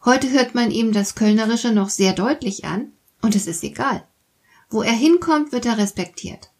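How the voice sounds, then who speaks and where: A woman with a somewhat breathy voice of middle pitch hosts a podcast. She reads a scripted monologue calmly and explains her points while talking straight to the listener, close to the mic. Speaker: podcast host.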